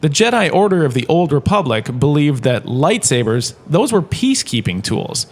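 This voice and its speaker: Man playing a vain, animated professor, higher-pitched. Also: normal speed. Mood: excitable, boastful. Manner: self-satisfied, chatty, theatrical asides. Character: vain, washed-up holodrama star lecturing as a professor. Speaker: lecturer. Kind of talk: comic in-universe audio drama lecture.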